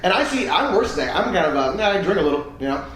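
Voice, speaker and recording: A young man in his twenties rattles off crude jokes and stories at a quick clip. His voice sits a little high. The room is very echoey, with a faint hiss underneath. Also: very animated, fairly bright tone. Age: 20s. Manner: rapid, crude, joking. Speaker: comedian podcast co-host. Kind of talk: casual chat. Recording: roomy echo with faint hiss.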